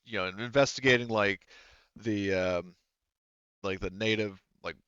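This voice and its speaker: A man narrating a tabletop game as game master in a casual, halting, rambling, conversational way. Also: fairly low pitch, fairly bright tone, clean tone, dry recording, lo-fi sound.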